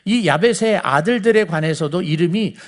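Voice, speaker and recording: High-pitched Seoul man preaching conversationally. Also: normal speed. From South Korea. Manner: explanatory, asks listeners rhetorical questions. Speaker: preacher teaching the Bible. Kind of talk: conversational sermon lecture to a congregation.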